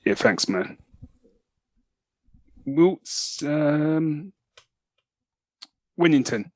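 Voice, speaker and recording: A man co-hosting a podcast with a very lively, swooping delivery. His voice sits a little high and the sound is close and dry. He chats casually in a jokey, matey way. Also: very slow, no echo, rough recording. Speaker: podcast co-host. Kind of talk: casual banter.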